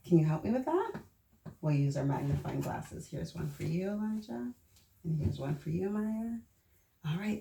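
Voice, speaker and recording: A woman hosting children's story time, reading aloud and talking to young listeners in an expressive, encouraging way. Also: on the low side, creaky. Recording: echoey room, distant mic, faint noise.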